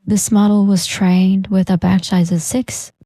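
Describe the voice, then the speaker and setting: A woman's voice: medium pitch, level tone, a little slow, dark tone. A woman reading a scripted test sample for a voice model.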